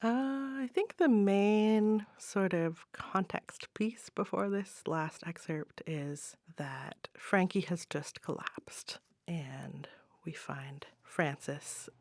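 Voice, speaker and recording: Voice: medium pitch, takes it slowly, dry recording. Thoughtful playwright in a dry recording. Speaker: guest playwright. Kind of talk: reflective interview talk.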